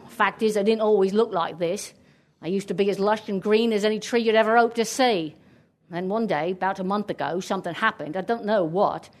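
A woman voicing a withered, grumpy walnut tree with a gruff, sarcastic English accent. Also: mid-range voice, natural voice.

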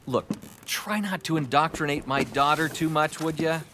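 A man's voice: a little high, normal speed, fairly bright tone, a little hiss or hum, close to an everyday voice. An actor playing a practical, exasperated father with a sarcastic, weary, nagging manner in a family audio drama. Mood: skeptical, sarcastic.

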